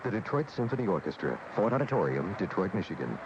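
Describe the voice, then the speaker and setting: A man's voice: sits low, very animated, quick pace. A man reading a scripted radio appeal as an announcer, polished and formal. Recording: dull, noisy AM radio tape.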